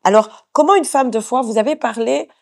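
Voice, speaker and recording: A woman's voice: fairly high pitch, fairly quick, gravelly. Earnest, ceremonious woman presenting a talk show. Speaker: show host interviewing a guest.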